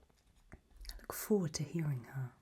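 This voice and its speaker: A woman playing an elegant, macabre aristocratic lady in a whispered ASMR roleplay, breathy, languid and serenely gracious. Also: deep voice, lively intonation, mellow, full character voice. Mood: gracious, darkly pleased. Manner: slow, languid, genteel.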